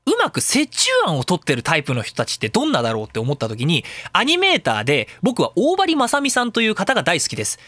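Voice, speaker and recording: A high-voiced, bright man hosts a casual radio talk show, his pitch leaping all over as he rambles quickly. He mixes serious points with crude, jokey rants. The sound is close and dry. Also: wildly swooping pitch, no echo.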